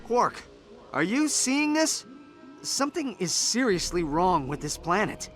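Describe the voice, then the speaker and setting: An urgent young hero, commanding and tense with purpose. His voice sits high. Game cutscene. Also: big pitch swings, measured pace, over music.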